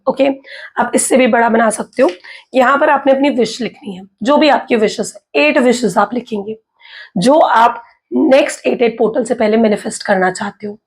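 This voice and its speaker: A woman with an Indian accent talks through a tarot reading in a relaxed, chatty way. Her voice is hoarse and husky from a sore throat, and it sits a bit high. She keeps checking in with her viewers as she explains. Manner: chatty, mixes in English, frequent "okay" and "theek hai" check-ins. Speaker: tarot reader. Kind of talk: casual explanatory talk to viewers.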